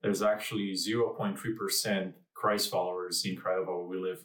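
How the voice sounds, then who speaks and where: A man's voice: low-pitched, flat delivery, normal speed, a bit bright. A man from Romania, a missionary, giving an earnest, scripted video greeting. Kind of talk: recorded video message. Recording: echoey, distant video audio.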